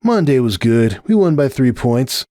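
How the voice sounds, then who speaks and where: A man's voice: medium pitch, very animated, unhurried, warm tone, no room sound, clean studio sound, voice pushed up. A man voicing a young male pegasus athlete from a cartoon, wry and dramatic, with a strained, grumbling delivery. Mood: resigned. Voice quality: strained, wheezy.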